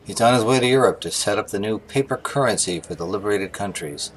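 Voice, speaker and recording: An actor playing an agitated, worried police inspector in a radio-style mystery, urgent and exasperated. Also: male voice, fairly low pitch, quick pace, close to an everyday voice, low-quality recording. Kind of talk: theatrical radio-mystery acting.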